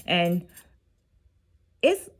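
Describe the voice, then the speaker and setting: A middle-aged woman who works as a dance educator, talking in a casual, reflective vlog with a teacherly manner, often checking in with the listener, and sounding tired. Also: very slow. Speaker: dance educator and video host.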